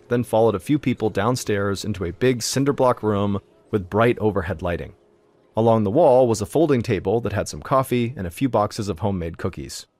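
Low-voiced man narrating a mystery. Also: no room sound, studio quality. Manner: steady, suspenseful storytelling. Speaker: podcast host narrator. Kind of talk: scripted storytelling narration.

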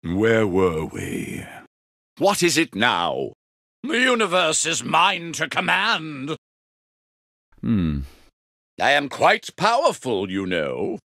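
A man's voice: mid-range voice, huge pitch swings, slow, creaky, pauses often, pushed and bright, dry recording, studio quality, heavily acted. A man voicing a grandiose, greedy, scheming villain for a video game, imperious and theatrically polite. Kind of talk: video game character voice clips.

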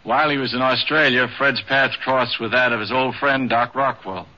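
A man's voice: mid-range voice, steady tone, moderate pace. A man reading scripted documentary narration as the narrator of a radio program. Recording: dull 1950s radio broadcast.

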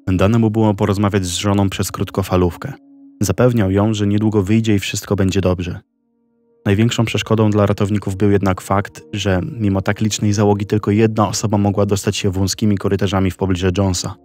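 A man narrating a documentary story in a slow, measured scripted style. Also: sits low. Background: soft background music.

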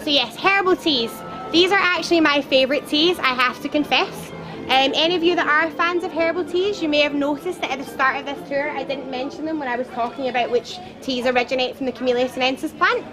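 Loud, chatty woman tour guide. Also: sits high, fairly quick, music in the background. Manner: loud, lively and chatty, with playful jokes. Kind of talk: guided tour talk to a group. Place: outdoor garden at a theme park. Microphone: camera a few steps away, no microphone.

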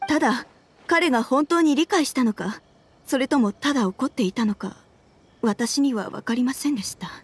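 Game role: woman as troubled wife and mother, higher-pitched, measured, courteous and earnest. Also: a little hiss or hum, close to an everyday voice. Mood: regretful. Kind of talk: video game voice acting.

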